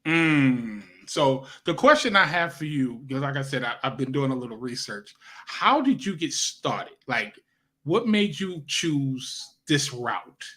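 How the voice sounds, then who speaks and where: A man's voice: mid-range voice, very animated, unhurried, fairly bright tone. A man hosting a radio interview, chatting in a loose, slangy, friendly way. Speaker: radio show host. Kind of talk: casual interview chat.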